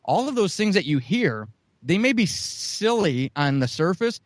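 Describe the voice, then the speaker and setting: A man's voice: high-pitched, big pitch swings, a little slow, no echo, studio quality. A middle-aged man on a podcast, talking casually about his comedy audio drama, with many restarts and swearing. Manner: rambling with restarts, profane.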